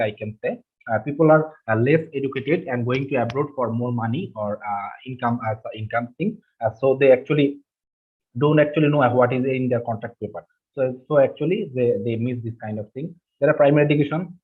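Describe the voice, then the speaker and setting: Man from Bangladesh on a tinny call. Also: mid-range voice. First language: Bengali. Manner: halting, many fillers and restarts. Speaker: webinar presenter. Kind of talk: presenting slides in a webinar. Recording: husky, phone-like online call audio.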